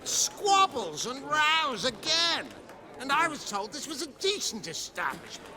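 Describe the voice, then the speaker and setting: A woman's voice: sits high, big pitch swings, unhurried, sharp tone, full character voice. A woman playing an indignant, scornful tavern patron in a fantasy game.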